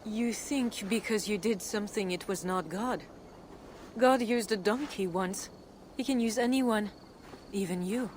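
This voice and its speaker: A woman playing a devout, enigmatic medieval Frankish queen in a video game cutscene, speaking in a composed, solemn and measured way. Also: medium pitch, a little mellow, a little hiss or hum, lightly acted. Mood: calm, fervent.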